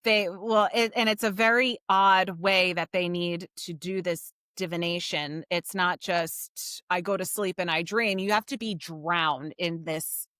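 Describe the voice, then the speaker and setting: A woman's voice: fairly low pitch, fairly even intonation, measured pace, few pauses, a bit bright, clear, resonant tone, dry recording, studio quality. A woman co-hosting a podcast, explaining things in a casual, conversational book discussion. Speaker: podcast co-host.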